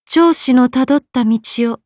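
Anime game ice queen goddess, high voice, lofty archaic way of talking. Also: moderate pace, a little mellow, no echo, studio quality. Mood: wistful, regal. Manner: lofty, old-fashioned speech. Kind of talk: anime mobile game voice acting.

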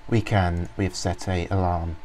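A man casually talking through a software demo, rambling with many fillers and restarts. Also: low-pitched, level tone, normal speed, slight background noise. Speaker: software reviewer. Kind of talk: informal screen-recorded walkthrough.

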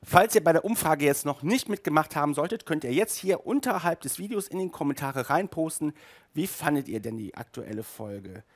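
A man in his thirties hosting a casual video review, chatty and halting, with emphatic asides, speaking into a headset mic. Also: fairly high pitch, expressive. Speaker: host of an audio drama review show. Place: small furnished room indoors.

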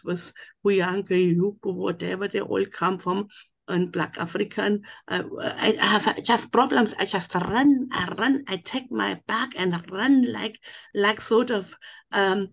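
A woman's voice: medium pitch, vocal fry. An older woman with a German accent, a native German speaker, telling a long personal account on a video call. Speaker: webinar participant.

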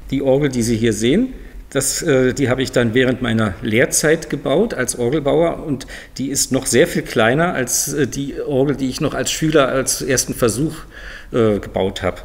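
A man's voice: mid-range voice, normal speed. A man in his fifties from Berlin, explaining in a matter-of-fact way as an organ builder showing his work. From Berlin, Germany. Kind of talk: informal explanatory demonstration to camera. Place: large museum hall, indoors. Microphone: lapel mic. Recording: long reverb of a large hall.

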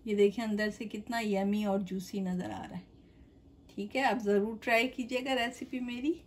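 A woman's voice: medium pitch, steady tone, normal speed. A middle-aged woman hosting a cooking channel, talking viewers through a recipe step by step in a chatty, direct way from her home kitchen.

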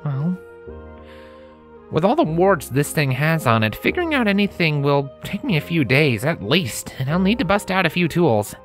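A woman voicing a friendly, excitable young male pony tinkerer in a storybook reading, with casual, earnest speech. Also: sits low, normal speed, soft background music, voice pushed up. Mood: sheepish, curious. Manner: casual, earnest, hesitant with filler words.

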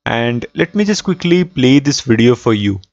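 A man hosting a step-by-step software tutorial, explaining methodically and repeating key points. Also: mid-range voice, very animated, normal speed, vocal fry, no echo, muffled recording. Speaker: tutorial host.

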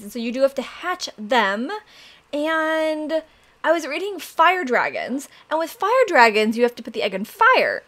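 A young woman hosting a Minecraft let's play, chatting excitedly to viewers while her voice is raspy from allergies and she keeps clearing her throat. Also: high voice. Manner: chatty, excitable, addresses "you guys" often. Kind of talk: casual gameplay commentary.

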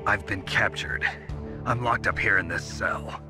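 A man playing a gruff, stoic captured soldier in a video game, terse and deadpan with a gravelly voice. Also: deep voice, a little slow, over loud music. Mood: urgent. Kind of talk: dramatic video game acting over radio calls.

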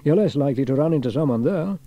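A man's voice: on the high side, animated, quick pace, dry recording, muffled recording. A man voicing a cheerful, kindly fireman hero in a children's storybook, with a hearty, good-natured manner.

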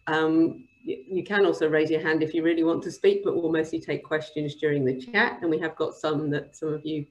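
Woman, lowish pitch, webinar host, informal introduction, video call. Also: fairly even intonation, fairly quick, low-quality recording.

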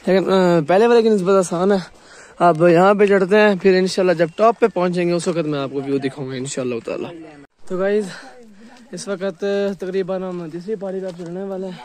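A man's voice: high voice, a little mellow. A young man with a Pakistani Urdu accent, chatting casually to the camera as he climbs a wooded hill trail, recorded on a handheld phone outdoors. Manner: chatty, walking and climbing while talking. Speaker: vlogger. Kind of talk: casual hiking vlog narration.

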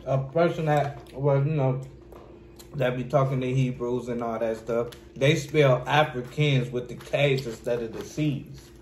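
A man's voice: mid-range voice, steady tone, measured pace. A young man rambling earnestly and arguing a point while eating at a kitchen table. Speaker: mukbang co-host. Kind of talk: casual banter while eating. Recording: echoey kitchen with faint ambience.

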